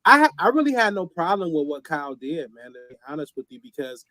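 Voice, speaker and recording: Slightly hoarse man, laid-back football talk. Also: on the high side, big pitch swings, fast talker, bright, forceful tone, no room sound. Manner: laid-back, fillers like "you know what I'm saying". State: a little hoarse from a cold. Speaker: sports show co-host. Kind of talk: casual sports talk.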